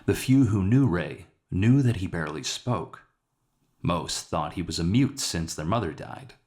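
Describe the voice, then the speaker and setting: A man reading a dramatic short story as narrator, in a somber and measured way. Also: low voice, expressive, a little mellow. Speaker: author narrating his own short story.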